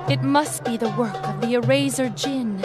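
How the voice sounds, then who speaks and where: An actress voicing a gentle young female genie with formal, earnest, old-fashioned speech, in a video game cutscene. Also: fairly high pitch, moderate pace, natural voice. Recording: game music behind.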